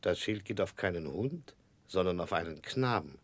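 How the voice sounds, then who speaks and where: A formal, mild schoolmaster in a vintage radio play. His very low voice is dry and close, measured and faintly regretful. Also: lively intonation, no echo. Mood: stern, regretful. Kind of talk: 1960s German radio drama acting.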